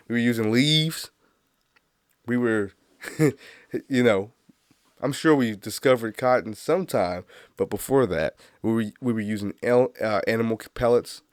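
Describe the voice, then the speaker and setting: A man's voice: mid-range voice, a little mellow, dry recording. Male solo host, congested, loose and rambling, dry close sound. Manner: rambling, laughs at own jokes, swears freely. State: stuffy nose, congested. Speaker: podcast host. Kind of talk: casual solo monologue.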